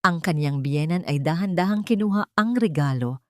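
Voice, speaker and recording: A woman's voice: fairly low pitch, animated, normal speed, no echo, studio quality. A woman narrating a dramatic story from a script in a solemn, emotive manner.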